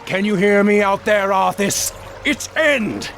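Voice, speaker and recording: An actor playing a proud, vengeful demon hunter villain in a fantasy game, dramatic and menacing, with a gravelly voice. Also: male voice, sits high, slow pace, a bit bright, faint music behind, full character voice. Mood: menacing, exultant. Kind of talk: fantasy video game acting.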